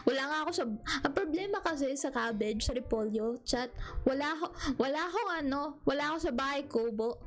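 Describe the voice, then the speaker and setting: High-voiced giggly Filipino streamer woman. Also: lively intonation, fast talker, faint music behind. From Philippines. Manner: giggly, rambling and playful, teasing chat. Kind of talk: casual live-stream chat with viewers.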